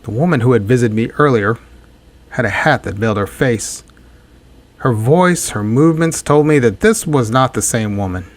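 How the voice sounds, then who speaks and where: An actor playing a hard-boiled, wisecracking private detective in 1940s radio drama style, with a wry deadpan delivery. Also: male voice, mid-range voice, normal speed, close to an everyday voice. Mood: measured narration.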